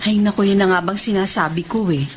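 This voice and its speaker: A woman's voice: mid-range voice, steady tone, quick pace, slight background noise, natural voice. A Filipino woman playing a concerned, outspoken young visitor who nags with disapproval, in a radio drama. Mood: exasperated, disapproving. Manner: disapproving, nagging.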